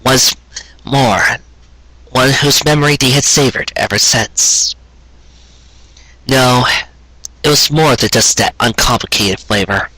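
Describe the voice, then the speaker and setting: A man's voice: mid-range voice, slow, pauses often, bright, forceful tone, breathy edge, no room sound, low-quality recording. A man reading a sentimental romance story aloud in an earnest, dramatic storytelling manner.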